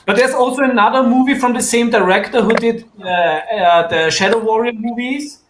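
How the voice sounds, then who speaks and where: A man's voice: very high voice, normal speed. A man chatting casually and enthusiastically about what he likes, on a group call from an echoey room with faint noise. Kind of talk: casual movie chat.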